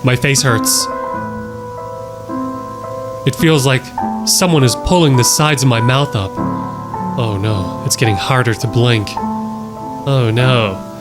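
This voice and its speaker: A man's voice: medium pitch, slow pace, long pauses. A man reading text aloud and adding casual, wry commentary as a horror reaction host. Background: music behind the voice.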